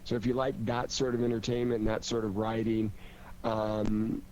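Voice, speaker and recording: A man with a fairly low voice talks in a casual, conversational panel style. He sounds keen on his subject as a writer and director of radio theater. Faint steady noise sits behind his voice. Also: moderate pace, muffled recording. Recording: clear steady background noise.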